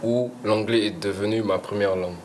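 A man's voice: fairly low pitch, steady tone, brisk, darkish tone, slight background noise. A young man, a rapper guest, chatting casually in slangy talk, often trailing off and restarting his sentences. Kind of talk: casual chat.

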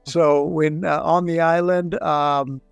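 A man's voice: a little high, fairly even intonation, slow, gravelly, smooth, no echo, faint music behind. A man in his sixties from Texas who grew up in Puerto Rico, telling stories as a guest in a casual interview, with lots of fillers. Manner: chatty storyteller, lots of fillers. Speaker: guest, business founder.

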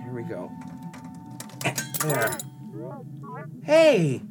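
A man's voice: medium pitch, huge pitch swings, drawn-out words, loud music behind the voice, exaggerated voice. An actor playing a curious, dreamy young farm boy in a radio drama, with an earnest, wondering manner. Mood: eager, questioning. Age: young adult.

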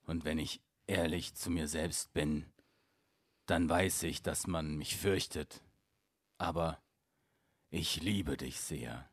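A man voicing a sorrowful, lovelorn male beast in a storybook reading, with a slow, heavy, downcast delivery. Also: very deep voice, steady tone, no room sound, clean studio sound, exaggerated voice. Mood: mournful.

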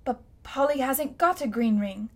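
A defiant young schoolboy, burning with anger and indignation, as a woman reads him aloud in a storybook. He speaks loudly and fiercely, his voice pitched high. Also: expressive, measured pace. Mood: indignant.

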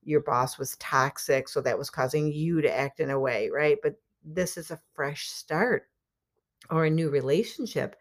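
A woman's voice: low voice, measured pace. A middle-aged woman hosting a solo podcast, talking casually and encouragingly to her listeners and laughing often. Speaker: podcast host and life coach.

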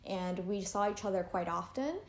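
A woman's voice: medium pitch, normal speed. Chatty young woman in an echoey room. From Canada. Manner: chatty, many fillers and likes. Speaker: interviewee. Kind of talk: casual interview chat.

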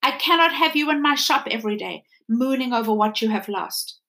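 A woman's voice: on the high side, expressive, brisk, pushed and bright. Woman doing a stern old doll mender.

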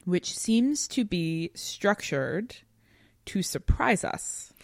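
Low-voiced woman co-hosting a podcast. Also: big pitch swings, drawn-out words, no room sound, clean studio sound. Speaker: podcast co-host. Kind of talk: casual analytical discussion of fiction.

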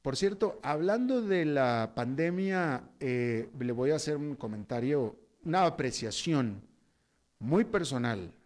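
A man's voice: mid-range voice, animated, takes it slowly, darkish tone. A man from Mexico, hosting a news program and mixing reports with conversational, opinionated commentary full of fillers. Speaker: news host. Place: Costa Rica.

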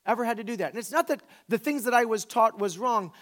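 High-voiced man in his forties, preaching casually. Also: expressive, fast, fairly bright tone. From the American South. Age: 40s. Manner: informal, emphatic, repeats phrases for effect. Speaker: pastor. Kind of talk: conversational sermon.

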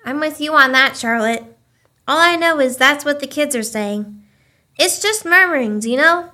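A woman's voice: high voice, expressive, normal speed, sharp tone. A woman playing a supportive, gossipy small-town mother in a radio play, with a chatty conversational manner. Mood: confiding.

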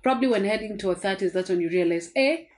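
A woman hosting a casual podcast chat, chatty and full of quick asides. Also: medium pitch, a little mellow. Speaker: podcast host.

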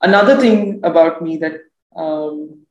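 Low-voiced woman on a podcast, thoughtful and open, echoey room sound. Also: a little slow, muffled recording, a little hiss or hum. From South Asia. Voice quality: deep, low voice. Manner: reflective, thoughtful, laughs easily. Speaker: podcast guest. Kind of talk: candid conversational interview.

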